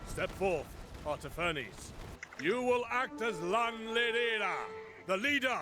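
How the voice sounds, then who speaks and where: High-pitched solemn priestly avenger. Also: male voice, animated, slow pace, over music. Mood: solemn, vengeful. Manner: ceremonial, portentous. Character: solemn, vengeful male leader of religious rite. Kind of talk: dramatic video game dialogue.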